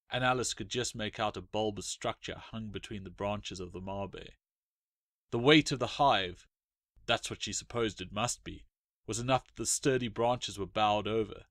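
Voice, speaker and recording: A man's voice: on the low side, a bit bright, dry recording. Male storyteller with a close, dry sound. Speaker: author narrating his short story. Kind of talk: dramatic scripted story narration.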